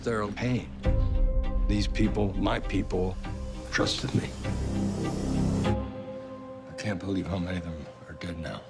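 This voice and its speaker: A man's voice: low voice, slow pace, long pauses. An actor playing a weary small-town doctor who mourns his patients. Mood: grieving. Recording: film trailer mix over loud music.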